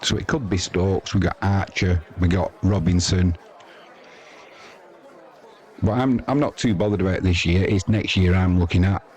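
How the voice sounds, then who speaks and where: A man's voice: deep voice, moderate pace, pauses often, darkish tone, a faint crowd. A man with an English accent giving casual, rambling, chatty live cricket commentary as the host of a sports stream.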